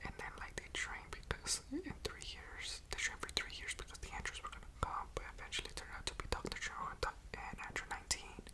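A young man speaks in a breathy, unvoiced whisper close to the mic, rambling casually with slang in an ASMR review style. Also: quick pace, hardly any pauses. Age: young adult. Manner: casual, slangy, loosely recapping. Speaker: ASMR video creator reviewing a show. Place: bedroom at home, indoors. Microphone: large desktop USB condenser mic, close up.

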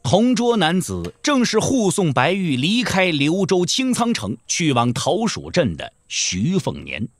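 A male narrator tells a wuxia story in a scripted, dramatic style. His voice is fairly high and moves widely in pitch. The recording is dry and close. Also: huge pitch swings, unhurried, few pauses, dry recording.

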